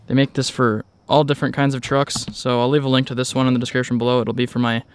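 A man's voice: mid-range voice, flat delivery, fast talker, slight background noise. A man vlogging outdoors, narrating a casual walkaround in a rambling, informal way. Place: outdoors in a driveway beside a truck.

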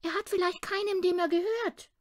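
Woman playing high, eager, stubborn little boy. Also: brisk. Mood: eager, excited. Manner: emphatic, excitable.

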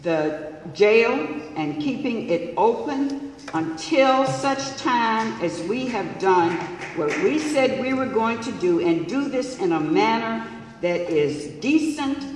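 A woman council member speaking at a public meeting, measured and insistent on procedure, heard in a large council chamber. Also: fairly high pitch, expressive. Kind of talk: formal public meeting remarks. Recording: echoey hall from far off.

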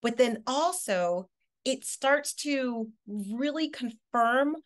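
A woman's voice: on the high side, animated, slow. A woman hosting a video call interview, enthusiastically summing up her guest's points. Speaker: podcast host. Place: home office indoors. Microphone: video call webcam.

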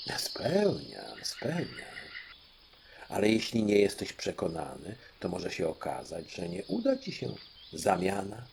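A man voicing a composed fairy queen in an audiobook, with a measured, deliberate and theatrical delivery. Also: low voice, huge pitch swings, creaky, a little hiss or hum, heavily acted. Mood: coaxing, composed. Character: fairy queen granting royal children's dreams, female, composed. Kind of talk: audiobook storytelling.

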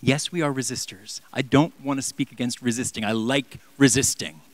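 A man in his thirties preaching a sermon in a church on a handheld mic, impassioned, swinging from loud emphatic peaks down to near-whispers. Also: medium pitch, steady tone, moderate pace, dry recording. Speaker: pastor. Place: large church sanctuary.

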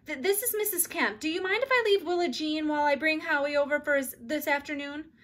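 A cheery storybook neighbour mom, acted by a woman, always in a hurry. Her voice is pitched high. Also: a little fast, a bit bright. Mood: hurried. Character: hurried, cheery neighbour mother.

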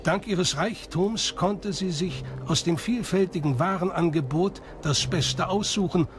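A man's voice: sits high, measured pace. A man reading scripted narration for a documentary. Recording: music behind the voice. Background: background music.

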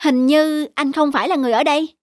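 A woman's voice: high voice, fairly even intonation, quick pace, a little hiss or hum, lightly acted. A woman voicing a brazen young female juice vendor in a cartoon, with pushy sales patter. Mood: sweet-talking. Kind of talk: cartoon dubbing.

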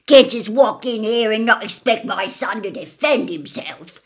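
A woman voicing a gruff, aggressive, suspicious old man in a storybook reading, loud and belligerent. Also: a little high, animated, a little slow.

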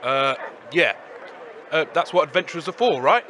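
A man acts out a shameless trader in a comic radio play. The voice is high and forceful with lively pitch, over faint noise. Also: moderate pace, a faint crowd. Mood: nonchalant. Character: shameless male wasteland market trader.

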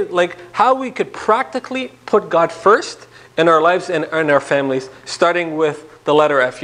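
A man with a notably high-pitched voice gives an informal church lecture. He talks like a priest addressing a small group, animated and conversational, and keeps putting questions to his listeners. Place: small indoor meeting room.

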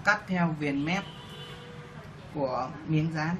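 A man's voice: a little high, slow pace, highs cut off. A man giving step-by-step tutorial instructions as a demonstrator. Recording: clearly noisy room recording.

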